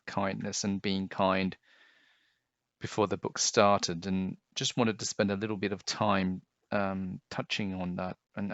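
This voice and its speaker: A man reading a picture book aloud and then talking to children about it, explaining things gently and simply. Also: fairly low pitch, no echo, muffled recording.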